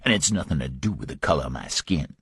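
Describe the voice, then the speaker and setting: A man voicing a cool, menacing Southern man with a Southern US accent, speaking low and slow in an audiobook. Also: expressive, fairly bright tone, breathy edge, dry recording, clean studio sound, exaggerated voice. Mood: taunting.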